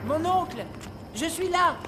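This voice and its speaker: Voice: very high voice, expressive, unhurried, background noise. An actor playing a naive, earnest and stubborn young boy hero in a French cartoon fairy tale. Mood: wary, stubborn.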